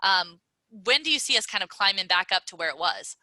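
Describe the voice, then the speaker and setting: A woman co-hosting a podcast, framing the topic conversationally with frequent pauses and "um"s. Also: mid-range voice, big pitch swings, sharp tone, dry recording. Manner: conversational, many "um"s, guiding questions. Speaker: podcast co-host.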